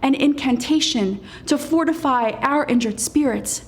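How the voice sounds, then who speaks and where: A woman performing spoken-word poetry as a poet, in a solemn, deliberate manner with weighted pauses and dramatic delivery. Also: sits high.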